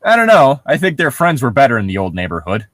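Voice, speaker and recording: A man's voice: mid-range voice, huge pitch swings, quick pace, a bit bright, no echo. A sarcastic young man joking and reading casually as co-host of a comedy story reading.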